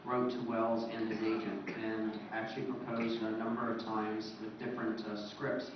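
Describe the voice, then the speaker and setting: A man with a fairly low voice gives an informal history lecture. He is heard from a distance in a very reverberant room with noticeable noise. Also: flat delivery, moderate pace. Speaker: co-presenter, radio historian. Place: echoey presentation room at a convention. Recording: roomy echo, distant mic, dull, clear noise.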